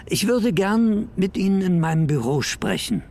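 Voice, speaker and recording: An actress playing an unsettling, probing superior with a doctorate and a creaky voice in an audio drama. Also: female voice, medium pitch, very animated, normal speed, a little mellow, close to an everyday voice. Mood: insinuating. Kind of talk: scripted audio drama acting.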